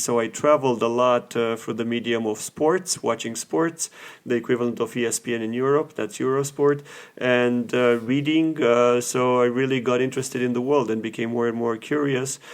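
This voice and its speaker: Measured man. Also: mid-range voice, fairly even intonation. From Romania. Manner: measured, pausing often between phrases. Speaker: guest professor. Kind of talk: casual interview conversation.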